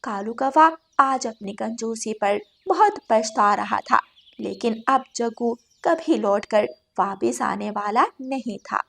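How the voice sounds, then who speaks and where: A woman's voice: a little high, takes it slowly, vocal fry, a bit bright. A woman narrating a scripted children's moral story.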